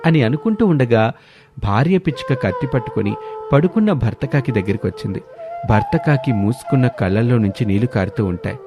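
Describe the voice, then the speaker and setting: A man's voice: medium pitch, lively intonation, a little fast, music in the background. A man narrating a scripted cartoon story in a dramatic storytelling style.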